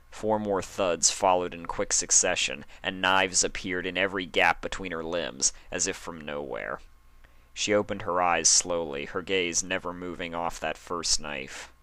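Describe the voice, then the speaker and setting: A man's voice: low voice, sharp tone, no echo. A man reading fan fiction aloud as dramatic story narration.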